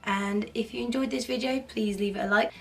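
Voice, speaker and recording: Hoarse woman narrating spooky tales. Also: a little high, steady tone, brisk, fairly bright tone. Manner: cheerful, chatty, playfully spooky. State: hoarse from a cold. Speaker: horror storytelling channel host. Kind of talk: casual spooky story narration. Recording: slightly distant mic, faint background noise.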